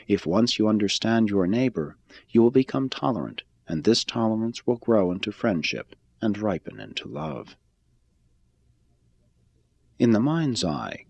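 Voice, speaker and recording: Man reading with widely varied, expressive pitch. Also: no room sound. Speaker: reader of a religious text. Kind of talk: scripted spiritual narration, audiobook reading.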